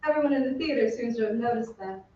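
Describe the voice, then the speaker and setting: Woman playing a high-voiced young opera singer, echoey. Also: fast, darkish tone, natural voice. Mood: polite, kindly. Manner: poised, courteous. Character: polite, kindly young female opera singer. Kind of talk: old-time radio drama acting. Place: theatre stage, live reading. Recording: very echoey stage, distant, muffled, hiss.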